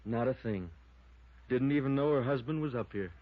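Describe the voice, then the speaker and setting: An actor playing a plain-spoken, cautious deputy sheriff in a 1950s radio drama, folksy and matter-of-fact. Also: male voice, mid-range voice, moderate pace, over loud music, close to an everyday voice. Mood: businesslike. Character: plain-spoken, cautious small-town male deputy sheriff, dryly teasing. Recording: muffled vintage radio broadcast.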